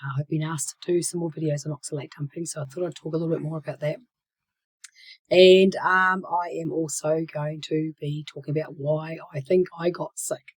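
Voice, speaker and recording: A woman in her sixties talking casually to her channel, hoarse and croaky from a cold, with many fillers and restarts, sometimes dropping to a whisper. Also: low voice, steady tone, brisk. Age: 60s. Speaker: channel host. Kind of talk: casual vlog talk.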